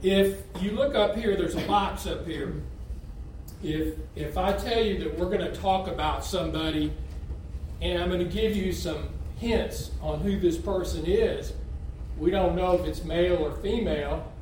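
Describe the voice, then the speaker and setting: A man from Texas preaching in a church sanctuary with a folksy, conversational manner, his voice a bit higher than most men's. The sound bounces around a very reverberant hall, picked up from well away from the mic, with a faint hum of the room behind him. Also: a little slow, a little mellow, recorded from a distance. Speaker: visiting lay preacher. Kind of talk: sermon. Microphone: standing away from the mic.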